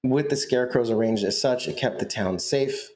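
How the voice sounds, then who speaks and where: A man's voice: mid-range voice, normal speed, darkish tone. A man co-hosting a podcast in casual chat, joking and teasing his co-host, laughing often.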